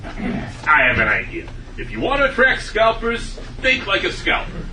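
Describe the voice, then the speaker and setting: A man acting a highish-voiced, exasperated sitcom guy. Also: huge pitch swings, moderate pace. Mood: frustrated. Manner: blunt, exasperated. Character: stubborn, exasperated man hunting for tickets. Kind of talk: live table read of a TV sitcom script. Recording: echoey room, distant mic, background noise.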